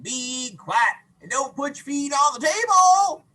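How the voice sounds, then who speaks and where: A man's voice: extremely high pitch, sing-song, a little slow, sharp tone, pitched above the performer's own voice, heavily acted. A man voicing an eager, forgetful young boy frog in a read-aloud storybook, with childlike, singsong exclamations.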